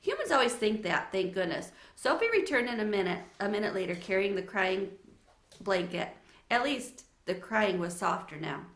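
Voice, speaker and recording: Bright, animated woman reading a children's story. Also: medium pitch, normal speed, roomy sound. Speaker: read-aloud narrator.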